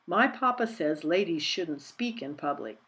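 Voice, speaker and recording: A woman's voice: lowish voice, expressive, a little slow, a bit bright. Young girl, doubtful and plain-spoken, played by a woman reader, storybook read-aloud. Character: doubtful young girl repeating her strict father's views.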